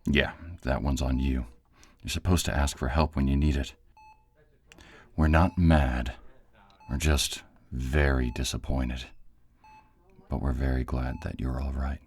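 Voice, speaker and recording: Man playing a very deep-voiced, husky commander. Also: level tone, unhurried, full character voice. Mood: gently scolding. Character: steady, authoritative male director planning a raid, dryly caring. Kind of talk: audio roleplay drama acting.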